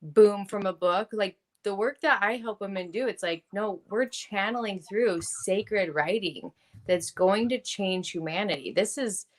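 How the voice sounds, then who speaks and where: Earnest, high-energy woman guest. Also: unhurried. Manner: energetic, earnest, laughs often. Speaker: podcast guest, publisher and coach. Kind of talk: casual interview chat.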